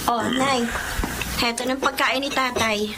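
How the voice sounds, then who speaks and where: A woman's voice: a little high, fairly even intonation, measured pace, background noise. A woman playing an earnest, dutiful younger daughter in a Filipino family drama. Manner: earnest, pleading. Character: gentle, dutiful younger daughter defending her mother. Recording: music under the voice.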